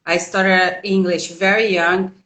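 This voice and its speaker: Host, woman, Brazilian Portuguese accent, native Portuguese, slow and bright, laughs often, echoey room. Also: lowish voice, level tone. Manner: laughs often, halting with fillers. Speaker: host, English teacher. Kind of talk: casual interview.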